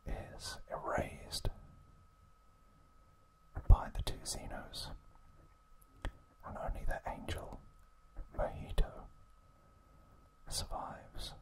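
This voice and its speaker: A man whispers an ASMR narration very slowly. His delivery is hushed and unhurried, taking its time through each phrase as a host explaining things for relaxation. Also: rough recording. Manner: slow, hushed, unhurried trivia recap delivery.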